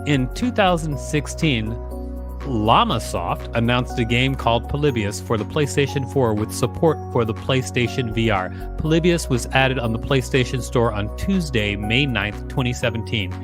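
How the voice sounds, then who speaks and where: A man reads an encyclopedia entry aloud as a podcast co-host, in a plain, even delivery. His voice sits at an ordinary middle pitch. Background music plays clearly under him. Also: normal speed. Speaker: podcast co-host reading an article. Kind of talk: reading an encyclopedia article aloud.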